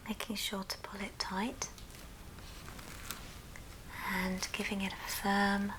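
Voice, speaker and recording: A woman whispering a gentle, breathy, step-by-step ASMR craft tutorial. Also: mid-range voice, level tone. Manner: slow, gentle step-by-step instruction. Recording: noisy background, handling clicks.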